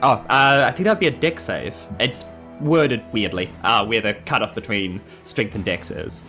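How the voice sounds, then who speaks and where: A man's voice: on the low side, normal speed, pushed and bright, soft background music. A man with a New Zealand accent chatting casually as a tabletop game player, joking at his own expense.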